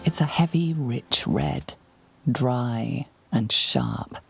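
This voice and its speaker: A knowing female narrator of a fantasy game. The voice is extremely low and thick with fry, slow, measured and intimate over faint music. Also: big pitch swings, exaggerated voice. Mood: savouring. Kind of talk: scripted second-person narration.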